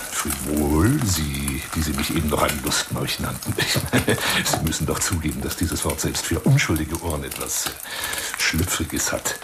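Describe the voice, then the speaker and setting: A man's voice: extremely low pitch, noisy background, heavily acted. An actor in a radio drama monologue playing a pompous, flirtatious, lonely self-styled lion hunter who courts a woman by telephone in grandiloquent, insistent phrases. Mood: affronted, sly.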